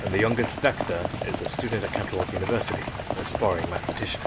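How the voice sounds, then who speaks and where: An actor playing a plain-spoken pony police inspector in an audio drama, reporting in a blunt, matter-of-fact way. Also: male voice, low voice, fast talker, close to an everyday voice. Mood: matter-of-fact, testy. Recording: loud rain-like noise behind voice.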